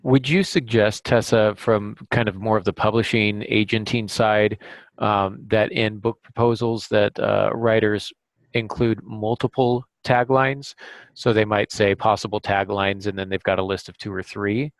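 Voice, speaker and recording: A man hosting a casual podcast discussion about a craft, pausing often as he picks his words. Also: lowish voice, level tone, no echo. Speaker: podcast host and novelist.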